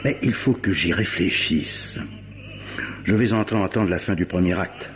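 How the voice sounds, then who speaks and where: An actor playing an urbane, clever gentleman captain and amateur detective in a 1950s-style French radio mystery, courtly and theatrical, savouring his words. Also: sits low, a little slow, faint music behind. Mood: brisk, confident. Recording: muffled vintage radio recording, faint noise.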